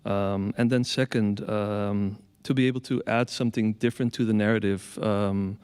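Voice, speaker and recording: A man from the Caribbean speaking as an artist on a panel, measured with many pauses and ums. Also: fairly low pitch, fairly even intonation, darkish tone, clean tone, long reverb tail. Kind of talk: panel talk.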